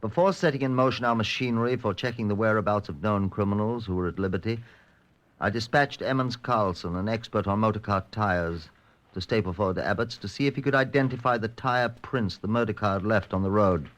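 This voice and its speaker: A composed British Scotland Yard chief inspector, played by a male radio actor, speaking in a low voice. He is clipped, methodical and authoritative, in the manner of an old radio crime drama. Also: a little fast, a bit bright, lightly acted. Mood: measured narration.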